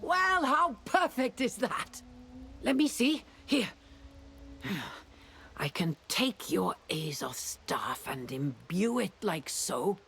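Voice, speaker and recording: A woman's voice: medium pitch, big pitch swings, slow pace, creaky, a bit bright, slight background noise, exaggerated voice. An actress playing a dreamy, eccentric female mage in a video game, with a musing, theatrical delivery. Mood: breezy, pleased. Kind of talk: video game character acting.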